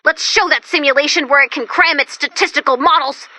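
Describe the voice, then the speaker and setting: Very bright, high-pitched perky robot helper. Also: female voice, brisk, no echo. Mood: brisk, upbeat. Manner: chirpy, expressive, dryly quippy. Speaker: game mission guide. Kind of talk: animated video game character dialogue.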